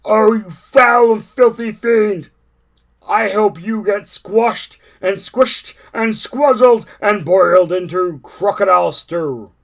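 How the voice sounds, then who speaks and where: A storyteller voicing a big elephant with a deep, booming, scolding voice. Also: slow pace. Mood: outraged.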